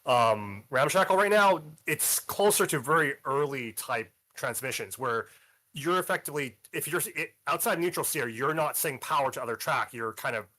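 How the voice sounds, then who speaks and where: Male questioner, mid pitch, eager and stumbly, technically detailed. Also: lively intonation, moderate pace, pushed and bright. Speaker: community member asking questions. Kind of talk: question in a live developer Q&A.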